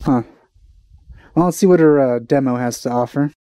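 A man's voice: mid-range voice, moderate pace, warm tone, dry recording, clean studio sound. A young man giving casual reaction commentary as a video host, hesitant and meandering, into a headset mic.

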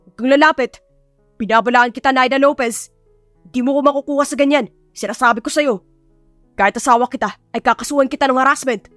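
A woman's voice: sits high, fast, a bit bright, no echo. A woman voicing a smug, teasing, curt groom in an audiobook. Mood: alarmed, accusing. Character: smug, teasing baritone groom, easily scandalized. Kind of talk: audiobook character acting. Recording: music behind the voice.

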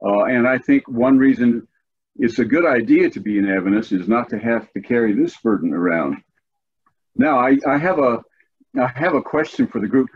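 Older husky-voiced man moderating a discussion. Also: medium pitch, expressive, narrow, tinny audio. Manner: measured, thoughtful talk with frequent restarts. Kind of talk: moderating a church study group. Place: home study with bookshelves. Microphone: video call.

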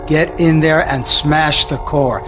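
Stern army general, higher-pitched. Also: male voice, fairly even intonation, slow pace. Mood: grim, commanding. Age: middle-aged. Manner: clipped, authoritative orders. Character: stern, commanding middle-aged male army general. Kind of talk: military briefing in game cutscene. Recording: music behind the voice.

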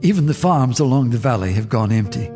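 An actor playing a half-mad old farmer in a radio drama, telling his tale in a husky rural drawl. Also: mid-range voice, animated, brisk, dark tone, big-room sound, soft background music, natural voice. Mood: grim. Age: older. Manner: confiding, eerie monologue.

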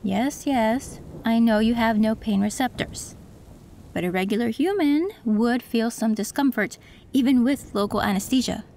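A woman voicing a female cyberpunk tech who works on an android, calm and matter-of-fact, in an audio roleplay. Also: fairly high pitch, moderate pace, a little hiss or hum, natural voice.

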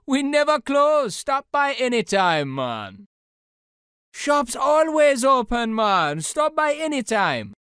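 High-voiced Jamaican Rasta shopkeeper. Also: male voice, fairly bright tone, clean tone, no echo, studio quality, heavily acted. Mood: friendly, easygoing. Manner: friendly, jokey, drawn-out delivery. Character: cheerful, laid-back Rasta skate shop keeper. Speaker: video game shopkeeper character. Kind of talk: video game character voice lines.

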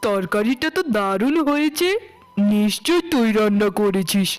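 A woman's voice: mid-range voice, expressive, normal speed, soft background music, voice pushed down, lightly acted. A woman voicing a doting middle-aged village father in a cartoon story, with an affectionate, fatherly manner. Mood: delighted.

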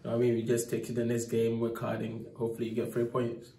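A young man, a footballer, speaks softly after a match, filling his answers with fillers and "obviously", into a phone held close in a press room. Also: medium pitch, monotone, fast talker, creaky. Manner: soft-spoken, many fillers, leaning on "obviously". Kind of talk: post-match interview. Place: indoor press room in front of a sponsor board. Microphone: phone held near by the reporter. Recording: echoey room.

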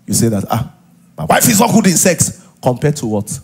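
Male pastor, middle-aged, Nigerian accent. High-pitched, husky delivery, conversational sermon with repeated rhetorical questions, headset mic on stage. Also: very animated, moderate pace, darkish tone. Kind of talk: sermon to a live congregation. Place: large church auditorium, on stage.

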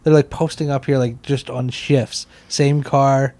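A man's voice: mid-range voice, little pitch movement, measured pace, darkish tone. A man in his thirties bantering casually as a podcast co-host, teasing and interjecting, speaking into a close handheld mic. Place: small indoor podcast room on couches. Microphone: handheld microphone held close.

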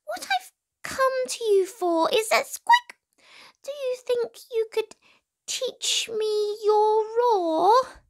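A woman voicing a tiny, meek male storybook mouse, squeaky, polite and hesitant but eager. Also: lively intonation, very slow, a little mellow, heavily acted. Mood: nervous, polite.

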